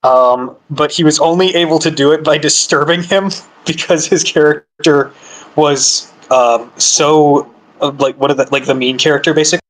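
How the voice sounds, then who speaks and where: Brief: man with a higher voice, chatty and laughing, restarts often. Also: fairly even intonation, normal speed, poor audio. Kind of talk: casual podcast chat.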